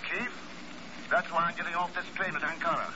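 Suave secret agent played by a man, wry and unruffled, high-pitched for a man, vintage radio, muffled recording. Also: fairly even intonation, fairly quick, noisy background, close to an everyday voice. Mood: cool, wry. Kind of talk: 1950s radio drama acting.

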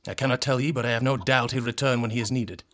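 A man's voice: medium pitch, quick pace, fairly bright tone, close to an everyday voice. An actor playing a haughty, mad young man in a radio drama, speaking pompous, antiquated English. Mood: irritable, defiant.

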